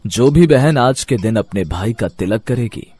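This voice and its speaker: A man voicing a benevolent, affectionate god and loving brother, with stately, formal speech, in an animated mythological story. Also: medium pitch, measured pace, slightly rough. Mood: solemn blessing.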